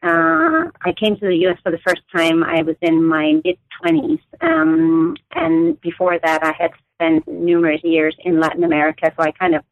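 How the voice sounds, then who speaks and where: A woman in her forties, raised in Denmark, speaking as an academic guest in an interview, choosing her words with many pauses and restarts. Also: on the low side, lively intonation, creaky, narrow, tinny audio. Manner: thoughtful, many fillers and restarts. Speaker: guest author, anthropologist.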